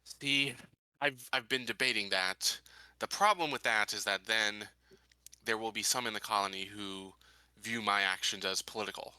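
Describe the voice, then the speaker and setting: A man playing a calculating colony leader in a tabletop game, speaking in a deliberate, formal, halting way. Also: on the low side, fairly even intonation, bright, forceful tone, clear, resonant tone, no room sound, rough recording. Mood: measured. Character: measured, calculating male colony leader giving orders.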